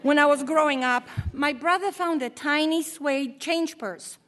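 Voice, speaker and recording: High-voiced woman giving a measured keynote speech. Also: fairly bright tone. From Poland. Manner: measured, deliberate delivery. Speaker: keynote speaker, professor. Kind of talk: formal commencement speech.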